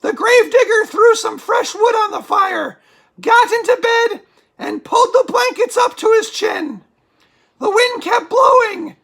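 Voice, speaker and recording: Super high croaky spooky puppet storyteller, excitable, dramatic comedy bedtime story reading, gets sidetracked easy. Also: female voice, exaggerated voice. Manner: exclamatory, drawn-out dramatic delivery. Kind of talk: theatrical comic reading of a scary story.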